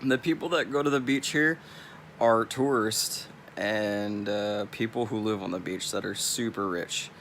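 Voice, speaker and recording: A man's voice: lowish voice, measured pace, a bit bright, a little hiss or hum. A young man from California with a Californian accent, rambling bluntly and swearing freely in a casual vlog. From California, US West Coast. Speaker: vlogger.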